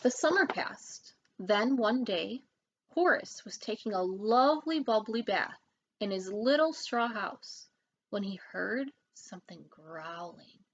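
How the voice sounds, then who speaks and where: A woman reading a children's story aloud as an expressive narrator. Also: mid-range voice, takes it slowly, muffled recording, a little hiss or hum. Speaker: storybook reader.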